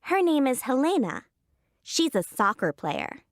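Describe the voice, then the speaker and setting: A woman's voice: sits high, big pitch swings, no room sound, studio quality, exaggerated voice. A polite young teen girl. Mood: plain. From Canada. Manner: short, slow, clearly spaced answers. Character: 13-year-old girl tennis player, polite and brief. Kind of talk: scripted textbook dialogue.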